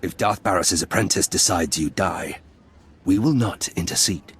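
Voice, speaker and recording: An actor playing a stern, disdainful Sith enforcer in a video game, with a raspy voice and cold, formal delivery. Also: male voice, deep voice, normal speed, heavily acted. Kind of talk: cinematic game voice acting.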